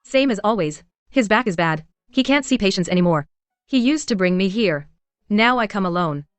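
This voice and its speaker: A woman's voice: medium pitch, lively intonation, normal speed, clear, resonant tone, dry recording, close to an everyday voice. An actress playing a composed, shrewd young woman who talks in a cool, matter-of-fact way with dry humor. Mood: calm wry. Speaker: lead in a short drama. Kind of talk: dubbed drama acting.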